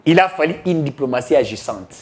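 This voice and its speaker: A man's voice: medium pitch, lively intonation, a little fast. A man giving emphatic, declamatory political commentary, mixing French with Lingala.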